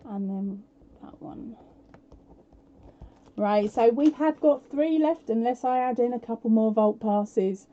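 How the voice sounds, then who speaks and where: A chatty woman hosts a casual live stream, giving out prizes with plenty of fillers and a self-mocking tone. Her voice is a touch croaky, pitched a little high and very mellow. The audio is dull and lo-fi. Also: slow, long pauses, a little hiss or hum. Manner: chatty and self-deprecating, with frequent fillers and laughs. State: slightly croaky voice. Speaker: podcast host running a live prize quiz.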